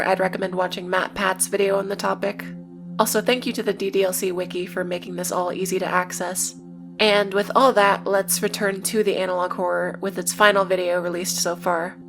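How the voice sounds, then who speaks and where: A woman narrating a scripted video essay as its presenter, with casual, conversational asides. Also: mid-range voice. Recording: music behind the voice. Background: background music.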